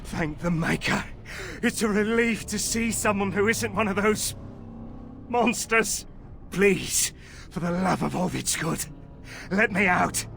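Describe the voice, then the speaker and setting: A man's voice: sits high, slow, gravelly, fairly bright tone, soft background music, full character voice. A man playing a frightened, pleading captive treasure thief in a video game, anxious and desperate.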